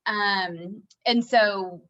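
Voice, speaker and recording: A woman's voice: mid-range voice, extremely slow, a bit bright, highs cut off. A woman, a communications firm vice president, introducing herself casually on a video call, rambling with fillers and restarts.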